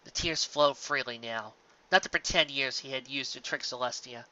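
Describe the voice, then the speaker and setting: A man's voice: medium pitch, normal speed, pushed and bright. A man reading scripted fan-fiction narration as a dramatic storyteller, intense and emotive. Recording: dull lo-fi home recording.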